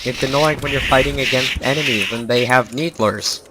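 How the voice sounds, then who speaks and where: A man who has lost his voice, playing a video game and trading deadpan jabs with his friends. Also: medium pitch, moderate pace, a loud crowd. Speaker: let's play player. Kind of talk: casual gameplay chat. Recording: noisy capture with game sound behind.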